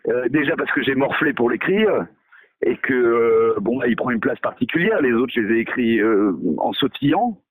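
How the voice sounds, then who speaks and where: A man's voice: mid-range voice, expressive, normal speed, no echo. A male novelist in a radio interview, talking hesitantly with many fillers and restarts, mocking himself. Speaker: novelist guest.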